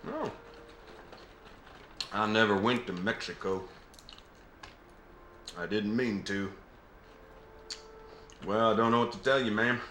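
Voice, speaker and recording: A man's voice: fairly low pitch, fairly even intonation, takes it slowly, pauses often, bright, forceful tone, slight background noise. A man playing a polite, flustered Southern American war veteran in comic dialogue, with a courteous, hesitant manner. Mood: puzzled, apologetic. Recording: echoey, roomy sound.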